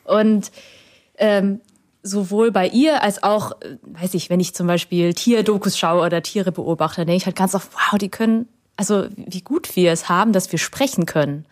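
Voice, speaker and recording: A woman's voice: mid-range voice, moderate pace. Reflective woman searching for words. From Germany. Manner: reflective, hesitant with many fillers and restarts. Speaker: guest. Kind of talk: conversational interview answer.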